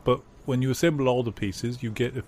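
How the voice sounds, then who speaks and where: A man playing a polite, earnest gallery host in improvised comedy, speaking formally and haltingly as he shows off exhibits. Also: mid-range voice, fairly even intonation, darkish tone, close to an everyday voice. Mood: earnest salesmanship.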